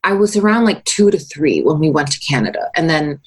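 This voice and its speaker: A woman's voice: sits low, animated, brisk, slightly rough. A young woman from Taiwan, a musician guest in her twenties, talking casually in an interview with many fillers and frequent laughs.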